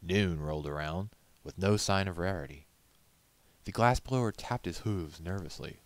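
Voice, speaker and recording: A man's voice: deep voice, moderate pace, no echo. A man reading a story aloud as a narrator, in scripted storybook style.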